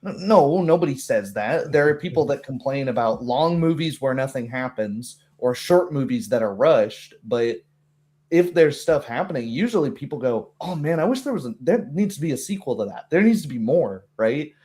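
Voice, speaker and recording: A man with a mid-pitched voice talks casually as a tabletop game master. He rambles through his thoughts and slips into quick acted-out quotes to make his points. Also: expressive, darkish tone. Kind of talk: casual chat about a tabletop game session.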